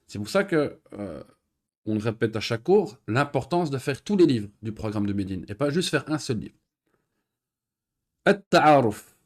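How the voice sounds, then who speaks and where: A male teacher with a middle-range voice gives a recorded beginner lesson. He is patient and methodical, reading each phrase aloud and then explaining it slowly. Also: mid-range voice, darkish tone. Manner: reads Arabic lines, then explains them in French; patient, repeats words slowly with pauses and fillers. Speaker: Arabic teacher.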